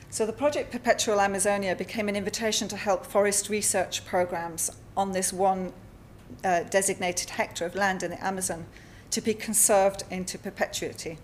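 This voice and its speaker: Spanish-accented woman presenting, halting delivery. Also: medium pitch, slight background noise. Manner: halting, with frequent fillers and self-corrections. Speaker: artist presenting at a symposium. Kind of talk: conference talk with slides. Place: lecture hall.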